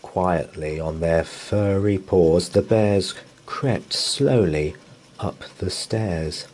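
A man reading a picture book aloud as a bedtime story, in an expressive, sing-song manner. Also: low-pitched, extremely slow. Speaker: children's story reader. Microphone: camera above a picture book.

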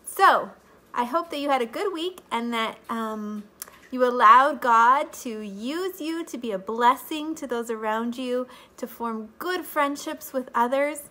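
Bright, high-voiced woman teaching kids. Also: unhurried. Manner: warm sing-song teacher talk to small children. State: slightly stuffy from allergies. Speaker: children's Bible lesson teacher. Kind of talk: children's Bible lesson to camera. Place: living room at home. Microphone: webcam or phone camera at close range.

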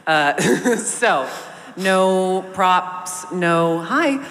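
A woman's voice: lowish voice, animated, very slow, a bit bright. A woman talking casually as an actor on a convention panel, speaking into a handheld microphone on a large stage. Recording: echoey hall, some background noise.

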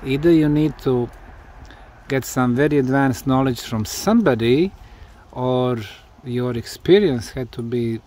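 A man who is a non-native English speaker, putting deliberate questions as an interviewer outdoors. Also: mid-range voice, warm tone. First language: not English. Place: outdoors, behind the camera.

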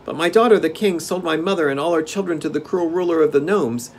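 A man's voice: fairly high pitch, fast talker, slight background noise, pitched above the performer's own voice, lightly acted. A man voicing a proud, bewildered little boy prince in an indignant, wide-eyed storybook style.

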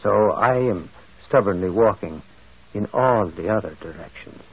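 A male actor playing a gentle, shrewd priest detective in a radio mystery, musing and hesitant, often repeating words. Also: fairly low pitch, moderate pace, very noisy, natural voice. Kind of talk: vintage radio mystery acting. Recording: dull, muffled old radio recording.

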